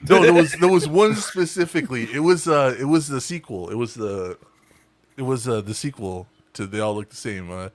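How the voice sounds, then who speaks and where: A man's voice: mid-range voice, normal speed, dry recording. Give me a cursing, rambling man on a dry call. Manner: swears freely, rambling with restarts. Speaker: podcast host. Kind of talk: casual group chat.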